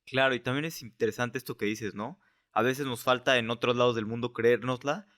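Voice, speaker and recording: Male podcast host, medium pitch, conversational, halting and winding in his questions. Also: fairly even intonation, a bit bright. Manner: halting, winding questions padded with filler words.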